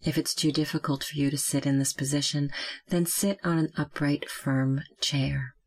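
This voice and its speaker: A meditation guide speaking gently and calmly, giving slow, measured instructions with long pauses. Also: medium pitch, husky.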